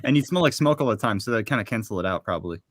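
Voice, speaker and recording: A young man chatting as a guest on a video call panel, quick and repeating words as he starts sentences. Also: on the low side, expressive, dry recording, clean studio sound. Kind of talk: casual panel chat.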